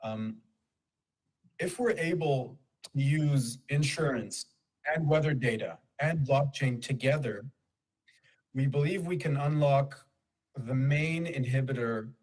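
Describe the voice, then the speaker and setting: A man who grew up in Kenya giving a conference presentation in a measured, explanatory way, pausing for emphasis. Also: mid-range voice, a little mellow, rough recording. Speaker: conference speaker for a nonprofit.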